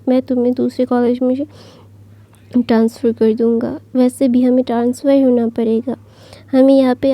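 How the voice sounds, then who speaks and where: A woman voicing a gentle father comforting his son in a soothing way. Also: on the high side, no echo, dull audio, natural voice. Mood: reassuring. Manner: soothing, reassuring.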